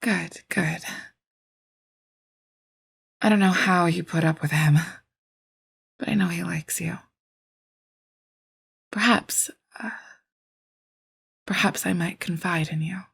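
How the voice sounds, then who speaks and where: Wounded, controlling matriarchal type, played by an actress: extremely breathy, slow, low, hushed drama delivery. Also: female voice, heavily acted. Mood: confiding, worried. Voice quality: breathy, creaky. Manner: hushed, deliberate, hesitant. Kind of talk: audio drama acting.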